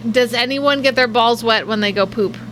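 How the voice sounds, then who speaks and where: A woman's voice: on the high side, normal speed, crowd noise. A woman in her late thirties co-hosting a casual live show, talking frankly with lots of fillers. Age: 30s. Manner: chatty, filler-heavy, frank. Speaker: co-host.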